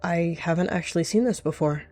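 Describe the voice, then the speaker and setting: Scheming rogue cat played by a roleplayer, guarded and measured, animal roleplay over music. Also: medium pitch, a little mellow, close to an everyday voice. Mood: polite, probing. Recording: music behind the voice.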